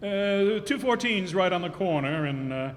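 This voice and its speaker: A man playing a dry, deadpan night patrolman in an old-time radio comedy staged live in a hall with long reverb. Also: sits high, normal speed.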